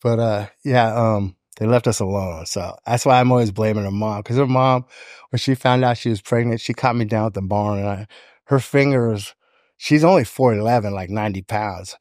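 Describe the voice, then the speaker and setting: American guy in his 50s telling life stories on a podcast, lowish voice, close dry mic. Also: moderate pace, darkish tone, no echo. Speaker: podcast guest, electrician. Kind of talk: casual personal storytelling. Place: indoor podcast studio. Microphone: close studio microphone on a stand.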